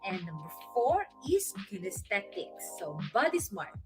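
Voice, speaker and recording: A woman with a Filipino accent hosting a weekly inspirational talk segment, explaining ideas gently like a teacher with soft laughs. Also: mid-range voice, sing-song, measured pace, music in the background. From Philippines. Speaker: host.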